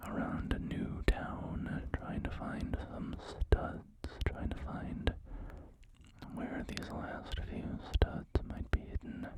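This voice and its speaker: An ASMR creator whispering gameplay commentary, rambling and apologetic, full of fillers and restarts.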